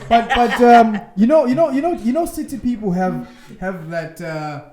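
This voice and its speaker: A man's voice: high-pitched, expressive, moderate pace, a crowd in the background. A South African man talks loosely on a podcast, stumbling over repeated words and laughing often. His voice sounds roomy and echoey. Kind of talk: casual chat.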